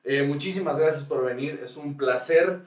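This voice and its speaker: A man's voice: a little high, moderate pace. A Mexican man hosting a casual comedic podcast, rambling and joking in slangy speech as he tells stories. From northern coastal Mexico. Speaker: podcast host. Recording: roomy echo, distant mic, music behind.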